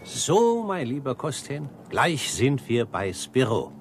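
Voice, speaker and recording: An actor playing a pompous, devoted restaurant regular in a radio drama, speaking formally and with reverent emphasis. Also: male voice, medium pitch, huge pitch swings, slow pace, a little hiss or hum, full character voice. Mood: fervent, proud. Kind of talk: German radio drama acting.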